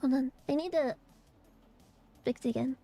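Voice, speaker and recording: A young woman streamer chatting casually and rambling with fillers, her voice a little hoarse and raspy from allergies. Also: high voice, normal speed, dark tone, faint music behind. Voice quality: raspy, phlegmy throat. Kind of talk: casual chat to stream viewers.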